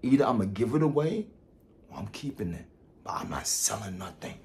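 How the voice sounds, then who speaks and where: A man's voice: on the low side, very animated, fairly quick, noisy background. A man, around his thirties, talking casually to viewers in a boastful, emphatic vlog style, often repeating his phrases. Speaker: vlogger, product reviewer.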